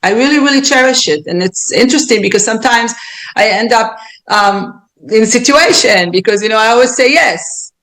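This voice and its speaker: A woman's voice: a little high, animated, moderate pace, a bit bright, poor audio. A woman with an Israeli accent speaking as a podcast guest, earnest and reflective, often restarting and repeating words as she thinks aloud. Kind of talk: reflective interview talk.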